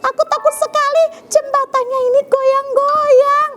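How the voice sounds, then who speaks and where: A tiny, scared young rabbit, played by a woman storyteller, speaks in an extremely high, pleading voice. It is a children's storybook character, timid and whining, heard over faint music. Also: level tone, unhurried, a bit bright, a little hiss or hum. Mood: frightened, pleading. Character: frightened little rabbit child afraid of crossing a bridge.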